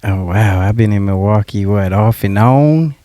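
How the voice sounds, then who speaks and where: A man's voice: fairly low pitch, a little slow, mellow, no echo. A man from Illinois, an app founder, telling his story in a rambling way with many fillers. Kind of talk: casual interview.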